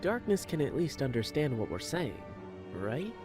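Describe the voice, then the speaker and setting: A man's voice: fairly high pitch, sing-song, moderate pace, over music. A man playing a curt, curious young man in a fantasy game fan dub, dry and needling.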